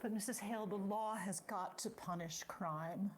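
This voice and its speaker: Anxious sheriff's wife played by an actress, faint noise. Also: female voice, mid-range voice, moderate pace, close to an everyday voice. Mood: nervous, restrained. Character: anxious, law-abiding sheriff's wife haunted by loss. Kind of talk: stage play acting. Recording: noisy amateur recording.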